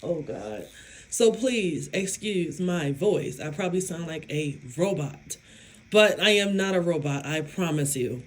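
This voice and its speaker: Slow-talking woman with a hoarse, raspy voice. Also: on the low side, lively intonation. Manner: slow, emphatic, self-affirming, laughs often. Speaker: psychic medium vlogger. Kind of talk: casual talk to camera.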